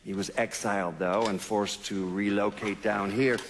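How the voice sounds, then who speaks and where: Guy playing an old case fbi agent, lowish voice, dry and explaining, like a documentary interview in a game. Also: fairly even intonation, moderate pace, a little hiss or hum, natural voice. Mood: matter-of-fact. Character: measured, matter-of-fact male FBI agent recalling old cases. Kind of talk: documentary-style interview acting in a video game.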